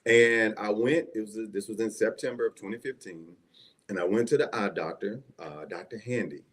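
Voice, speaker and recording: A man's voice: fairly low pitch, moderate pace, fairly bright tone. A man with a Southern US accent, an assistant pastor telling his personal testimony as an interview guest in a folksy, conversational way with frequent check-ins. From Mississippi, United States.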